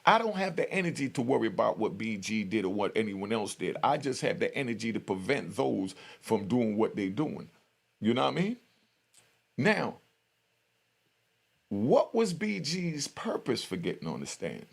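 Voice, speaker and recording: A man's voice: on the low side, very animated, moderate pace, gravelly, husky. A middle-aged man telling stories and giving commentary in a casual, slangy, streetwise way, often checking in with the listener. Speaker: YouTube storyteller and commentator. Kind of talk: casual spoken prison storytelling and commentary.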